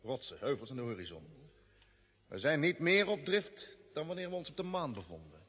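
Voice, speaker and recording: An actor playing a decisive, level-headed leader of a space expedition in a vintage Dutch radio drama. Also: male voice, fairly high pitch, big pitch swings, a little fast, fairly bright tone, husky, exaggerated voice. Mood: calm, reasoning. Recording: old radio recording, long hollow reverb.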